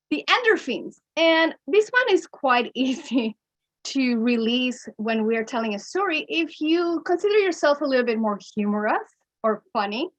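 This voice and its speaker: A woman from Mexico with a Mexican accent, a coach giving an expressive webinar presentation that she breaks up with small chuckles. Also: sits high. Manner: expressive, chuckles between points, speeds up and slows down. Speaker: keynote speaker and coach.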